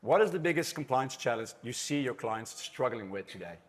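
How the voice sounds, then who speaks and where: Dutch guy running a panel at a conference, mid pitch, chatty, keeps restarting bits of sentences. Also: lively intonation, brisk. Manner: chatty, restarts phrases often. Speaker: panel moderator. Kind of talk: moderating a live conference panel. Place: conference room with an audience.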